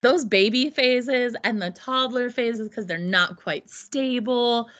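A woman's voice: fairly high pitch, slow, a bit bright, highs cut off. A woman in her thirties, a podcast guest, talking through her own experiences in an earnest, reflective way. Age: 30s. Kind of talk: casual conversation.

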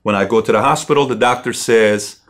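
A middle-aged man from Iran, a company CEO, telling his personal story in a halting way with many fillers and restarts. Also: fairly low pitch.

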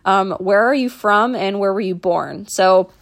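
A woman's voice: mid-range voice, unhurried, creaky. Young texas gal hosting her own podcast, chatty, lots of ums and false starts. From Dallas, Texas. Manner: chatty, many ums and restarts. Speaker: podcast host, mental performance coach. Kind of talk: casual solo podcast introduction.

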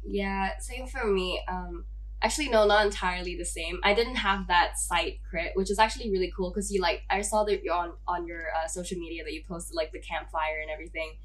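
A woman's voice: fairly low pitch, steady tone, brisk. A young woman from Hong Kong hosting a podcast, chatting casually with lots of "like" fillers and frequent laughs. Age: young adult. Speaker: podcast host. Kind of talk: casual chat.

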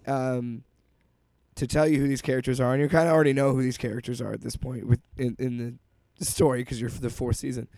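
A man co-hosts a casual podcast, his voice hoarse from a cold and dark in tone. He rambles and restarts his sentences often. The sound is dry and close. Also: medium pitch, steady tone, fast talker, no room sound. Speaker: podcast co-host. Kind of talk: casual chat.

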